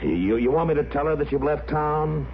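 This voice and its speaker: An actor playing a plainspoken, exasperated frontier marshal in a 1950s radio western, gruff and halting. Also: male voice, fairly high pitch, expressive. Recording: tinny, noisy old radio recording.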